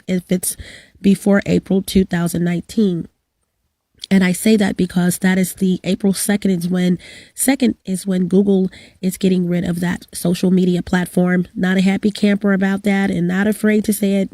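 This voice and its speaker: Hoarse woman, middle-aged, rambling to-camera vlog, dry close sound. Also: medium pitch, warm tone, dry recording. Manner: rambling, many fillers and restarts. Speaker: vlogger. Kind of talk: casual to-camera vlog with announcements.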